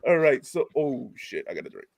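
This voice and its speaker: A man's voice: medium pitch, sing-song, fairly quick, vocal fry, darkish tone, slight background noise. A man hosting a casual podcast, stuffed up, laughing often and swearing as he talks. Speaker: podcast host.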